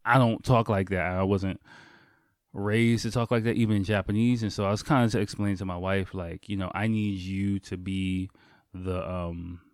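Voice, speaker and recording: A man with a fairly deep voice talks as the host of a casual solo podcast. His delivery is informal and conversational, with loose swearing. The sound is dry, with very little room in it. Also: steady tone, moderate pace, clean tone, no echo. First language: English.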